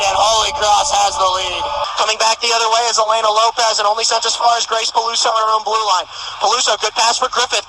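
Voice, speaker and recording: A man's voice: extremely high pitch, pushed and bright, slight background noise, poor audio. A man doing emphatic play-by-play ice hockey commentary for a radio broadcast from the rink. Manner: rapid-fire, emphatic calling of action.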